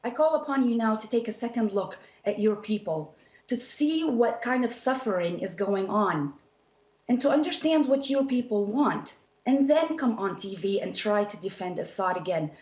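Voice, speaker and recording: An impassioned woman from Syria with a mid-pitched voice, arguing as an activist in a TV debate. She is emphatic and insistent, hammering phrases by repetition. The sound is roomy and a little muffled. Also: expressive, moderate pace, darkish tone. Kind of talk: impassioned political debate on a TV show.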